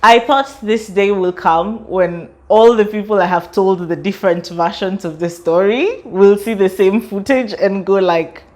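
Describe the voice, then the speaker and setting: A woman's voice: mid-range voice, a little slow, few pauses. A young woman from Kenya with a British-sounding accent, chatting casually in an interview and laughing often as she talks. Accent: British-sounding English. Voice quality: laughs often while talking, chatty and expressive. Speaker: interviewee, student and artist.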